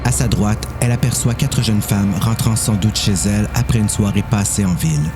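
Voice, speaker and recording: Deep-voiced Quebec man narrating over music. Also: fairly even intonation, a little fast. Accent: Quebec French. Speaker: narrator of an amateur audio drama. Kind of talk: scripted story narration.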